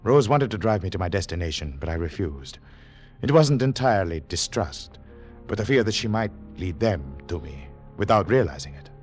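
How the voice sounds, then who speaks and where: An actor playing a weary, guarded agent on the run, in 1940s radio thriller style. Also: male voice, on the low side, big pitch swings, moderate pace, soft background music. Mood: brooding narration. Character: weary, wary male foreign confidential agent, on the run. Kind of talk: 1940s radio acting, first-person thriller narration. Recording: noisy old 1940s radio broadcast.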